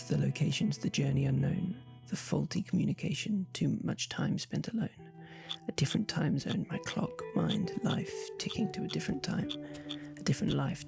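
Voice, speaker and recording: A woman reading a spoken word poem in a hushed, half-whispered recital. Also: low-pitched, gravelly. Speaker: poetry reader. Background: loud music.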